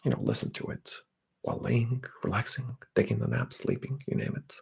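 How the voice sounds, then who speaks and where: A young man, chatting softly and often whispering on an ASMR livestream while reading out comments. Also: medium pitch, level tone, brisk, vocal fry, slightly rough. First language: Bulgarian. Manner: soft-spoken, drifting into whispers. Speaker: ASMR streamer.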